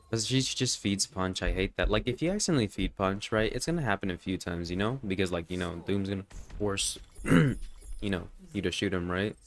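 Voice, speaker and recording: A man with a slightly low, congested voice talks through a live gaming stream. He rambles and swears casually, filling the gaps with "like" and "you know". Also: moderate pace. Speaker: livestreamer. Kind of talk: casual live gameplay commentary.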